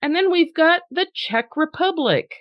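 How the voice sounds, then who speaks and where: A woman's voice: very high voice, expressive, normal speed, no room sound, highs cut off. A woman hosting a podcast in an upbeat, chatty way, laughing easily and also reading a poem aloud with drama. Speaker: podcast host.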